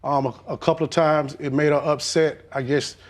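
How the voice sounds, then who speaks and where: Brief: man in a TV courtroom, defensive and halting, slightly high voice. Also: level tone. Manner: hesitant, many "um"s, defensive. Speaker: litigant on a court show. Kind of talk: courtroom testimony.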